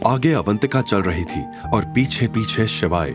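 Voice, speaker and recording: Animated male story narrator. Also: on the low side, a little slow, music in the background. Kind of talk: dramatic scripted story narration.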